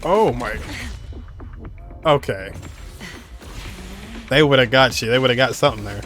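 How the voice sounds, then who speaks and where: A man streams his casual reaction to a game, with music playing underneath. He talks slowly in slangy, short exclamations at an ordinary male pitch. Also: fairly bright tone. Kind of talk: casual live reaction commentary.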